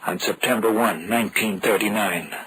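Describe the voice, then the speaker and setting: An actor playing a bold, skeptical traveler, earnest and dramatic, in a 1940s radio horror drama. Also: male voice, lowish voice, moderate pace, a bit bright, natural voice. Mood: grave narration. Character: bold, skeptical male traveler telling his tale. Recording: noisy, crackly vintage radio recording.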